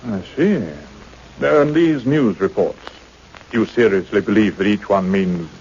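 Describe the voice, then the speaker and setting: Casting: quiet, authoritative minister for radio play; fairly deep, deliberate, probing. Dulled sound, faint hiss. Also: male voice. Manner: deliberate, clipped, questioning, authoritative. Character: quiet, mild, sharply probing male government minister. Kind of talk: radio drama acting. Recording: muffled radio recording with faint noise.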